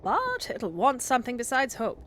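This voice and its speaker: A woman voicing a cheerful, brisk, reassuring father in a storybook reading. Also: on the high side, huge pitch swings, a bit bright, a little hiss or hum, voice pushed up. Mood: hearty, cheerful. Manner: brisk, jovial, encouraging. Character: cheerful, hearty, reassuring father of an Edwardian family.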